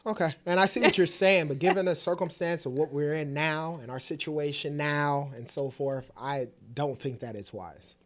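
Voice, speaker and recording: A man's voice: on the high side, normal speed, hardly any pauses. A man in his late thirties co-hosting a family vlog in a casual, conversational style. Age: late 30s. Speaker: co-host of a couple's family vlog.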